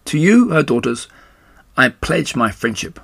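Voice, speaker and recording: A man voicing a gentle, devoted male pig in a children's storybook, speaking in an earnest, polite, slightly formal way. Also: mid-range voice, big pitch swings, unhurried, vocal fry, breathy edge, dry recording, full character voice. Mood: solemn, tender. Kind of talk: children's storybook read-aloud.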